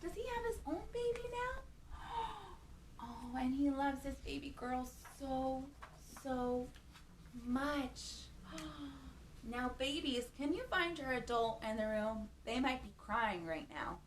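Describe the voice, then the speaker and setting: A woman hosting children's storytime, reading a picture book in an animated, playful way and chatting to young listeners with questions and exclamations. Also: high-pitched, distant mic, a little hiss or hum.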